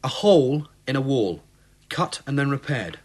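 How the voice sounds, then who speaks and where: A man's voice: mid-range voice, very animated, moderate pace, darkish tone, husky. A man playing a brusque, sceptical wartime police inspector in a radio drama, with a clipped, dismissive manner. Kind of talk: period radio drama acting.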